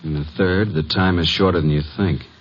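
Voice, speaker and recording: Actor as a deep-voiced radio detective, composed and probing. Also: male voice, steady tone, natural voice. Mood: calm, probing. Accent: American. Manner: measured, probing questioning. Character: composed, probing male private detective's assistant. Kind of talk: 1950s American radio drama acting. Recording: muffled, noisy old radio recording.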